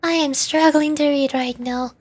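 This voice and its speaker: A woman VTuber on a casual game stream, reading story text aloud a little haltingly. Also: high-pitched, fairly even intonation.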